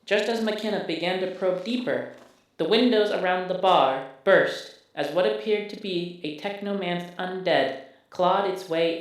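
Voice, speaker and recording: A man's voice: a little high, close to an everyday voice. Echo-drenched computer archive voice, done by a man. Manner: formal recap narration. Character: computer memory system reciting mission log. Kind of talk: scripted narration. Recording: very echoey, distant processed voice.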